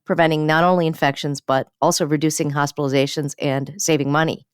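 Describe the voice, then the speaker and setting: A woman physician hosting a podcast, interviewing in a measured, professional style. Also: deep voice, no room sound, clean studio sound. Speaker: infectious disease physician.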